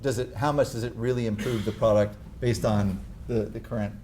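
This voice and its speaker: A man presenting at a tech talk, answering audience questions in a candid, measured way. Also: fairly low pitch, steady tone, a little mellow, slight background noise. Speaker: conference presenter. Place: conference room.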